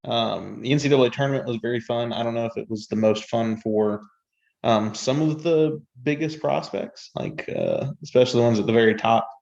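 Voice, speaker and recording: Gravelly man on a dull mic. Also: medium pitch, brisk, a little mellow. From North Carolina, US. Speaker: podcast co-host. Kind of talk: casual sports chat. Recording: dull, lo-fi microphone sound.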